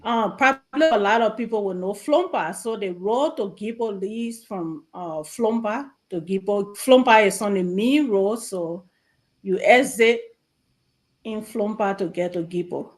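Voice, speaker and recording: A woman with a Liberian accent speaking as an interview guest in a measured, deliberate way with halting phrases. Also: mid-range voice.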